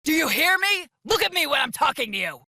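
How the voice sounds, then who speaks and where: A woman's voice: sits high, sing-song, fairly quick, gravelly, pushed and bright, no echo, clean studio sound, pitched above the performer's own voice, heavily acted. A woman voicing a harsh, scolding, contemptuous father in a horror audiobook. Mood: furious. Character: harsh, belittling, angry father in a horror story.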